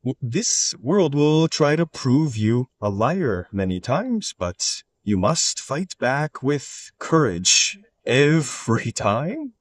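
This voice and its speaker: Male actor as honest soldier mentor in cartoon tale; solemn, unhurried, with strongly varied intonation. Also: medium pitch, very animated, no echo, distant mic. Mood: solemn encouragement. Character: honest, encouraging army soldier, fatherly mentor. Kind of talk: animated moral story acting.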